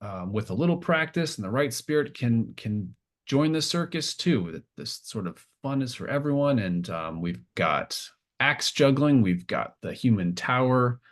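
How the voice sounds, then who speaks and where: A man's voice: medium pitch, big pitch swings, normal speed, voice pushed up, natural voice. A man voicing an eager, folksy circus barker with cheerful, slightly hesitant sales patter in a tabletop game. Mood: cheerful, coaxing.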